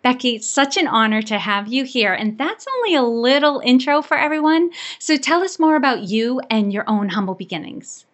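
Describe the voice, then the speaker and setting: A woman's voice: a little high, normal speed, hardly any pauses. A woman hosting an interview podcast, with an upbeat, enthusiastic manner. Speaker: podcast host.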